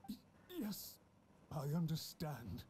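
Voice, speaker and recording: An actor voicing an anxious, deferential official in a video game, earnest, formal and apologetic. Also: male voice, fairly high pitch, very animated, slow pace, a little mellow, a little hiss or hum. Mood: cowed. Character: anxious, deferential male official pleading humbly.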